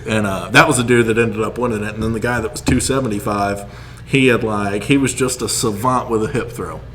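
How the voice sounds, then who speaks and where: A man's voice: fairly low pitch, steady tone, a little fast, a bit bright, slight background noise. A man telling casual stories as a podcast co-host, joking, often laughing as he talks and acting out reactions. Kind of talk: casual storytelling banter.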